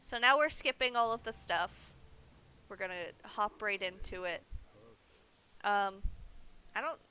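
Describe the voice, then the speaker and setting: Bright-voiced young woman streaming a game. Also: mid-range voice, expressive, unhurried, clear, resonant tone. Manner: explanatory, informal. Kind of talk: casual livestream gameplay commentary.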